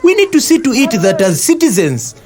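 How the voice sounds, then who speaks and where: An earnest Zambian man in an educational radio play. His voice is high for a man and swoops with very animated pitch. He explains civic matters to his friends emphatically and with indignation. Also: a little fast, heavily acted. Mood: earnest explaining. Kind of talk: scripted educational audio drama.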